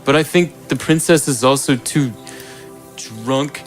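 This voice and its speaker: A man's voice: medium pitch, measured pace, natural voice. An aggrieved, judgmental toy in an improvised comedy drama, played by a man. The voice is mid-range and conversational, bluntly arguing over faint music.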